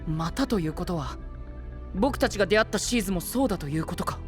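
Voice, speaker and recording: An actor playing a dramatic, dandyish young gentleman knight in an anime voice drama, theatrical and excitable. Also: high-pitched, fast talker, soft background music. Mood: indignant. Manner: theatrical, sprinkles English exclamations. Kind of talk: Japanese anime voice drama acting.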